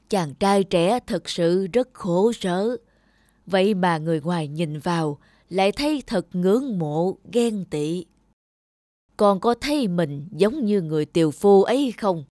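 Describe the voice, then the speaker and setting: A woman voicing a wise elderly father in a cartoon moral tale, measured and gently instructive. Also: medium pitch, dry recording, studio quality, lightly acted. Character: calm, wise elderly father advising his son. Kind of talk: animated moral-tale dubbing.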